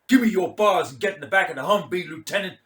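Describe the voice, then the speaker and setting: A man's voice: sits high, quick pace, fairly bright tone, echoey room, voice pushed up. A man voicing a drunk, domineering, foul-mouthed middle-aged Marine commander in a performed short story. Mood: barking, enraged. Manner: barking, domineering, profane.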